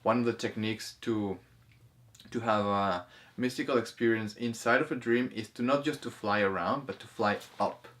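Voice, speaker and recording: A man talking casually as host of a discussion, haltingly, often repeating words and restarting his sentences. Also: fairly low pitch, a bit bright. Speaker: host of a spirituality film discussion. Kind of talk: casual conversational commentary.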